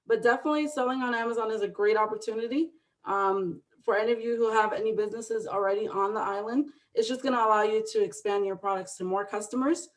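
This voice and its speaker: A woman's voice: on the high side, fast. A woman from the Dominican Republic, an online seller, giving an upbeat explanatory webinar presentation, often adding "right?". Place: video call.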